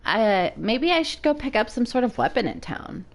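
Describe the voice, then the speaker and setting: A woman's voice: fairly high pitch, big pitch swings, moderate pace, gravelly. A woman giving a spontaneous dramatic reading of a visual novel as a Let's Play narrator.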